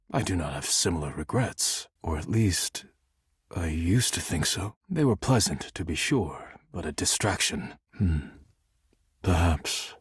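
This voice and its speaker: A man voicing a serious, proud, formal dish character in a dating sim game, speaking in measured, precise and reserved phrases. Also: deep voice, husky, dry recording, clean studio sound, exaggerated voice. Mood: reflective, regretful. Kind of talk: scripted character dialogue.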